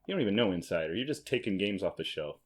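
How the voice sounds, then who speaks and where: A man with a fairly low voice hosts a loose, unscripted review chat. He talks casually, teases a bit and keeps nudging the conversation back on track. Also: sing-song, fast talker. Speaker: podcast host.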